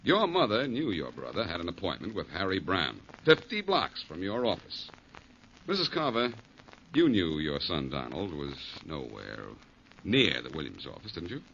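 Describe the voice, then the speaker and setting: An actor playing a shrewd, confident American detective in a 1940s radio drama, wry and insistent. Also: male voice, deep voice, huge pitch swings, normal speed, gravelly, a bit bright, heavily acted. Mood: accusing. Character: shrewd, confident male amateur detective, coolly needling. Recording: muffled, hissy 1940s radio recording.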